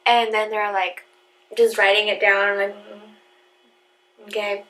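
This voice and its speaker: A soft-spoken young woman telling a personal story to camera in a casual, rambling way, full of "like" and "you know," breaking off to laugh now and then. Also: mid-range voice, flat delivery, slow pace, low-quality recording. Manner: filler-heavy, lots of "like" and "you know"; laughs between phrases. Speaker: vlogger.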